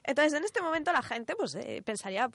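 A woman's voice: sits high, sing-song, brisk, vocal fry, a bit bright, no room sound. A woman with an accent from Spain co-hosts a radio programme, explaining things step by step in casual, conversational language. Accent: Spanish from Spain. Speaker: radio programme co-host presenting a segment.

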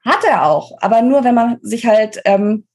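A woman's voice: a little high, lively intonation, moderate pace, darkish tone, husky. A woman with a German accent explains things as an expert interview guest, informal and emphatic, often correcting herself as she thinks aloud.

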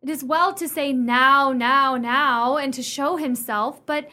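A woman plays a genteel, anxious widow in a radio drama. Her voice is high and bright, with refined, earnest theatrical delivery. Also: steady tone, takes it slowly, exaggerated voice. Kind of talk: radio play acting.

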